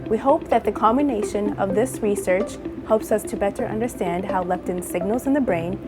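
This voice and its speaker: A young woman from Zambia talks through her research in a measured, clear scripted narration. Her voice sits at an ordinary middle pitch. Music plays underneath her. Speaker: PhD researcher.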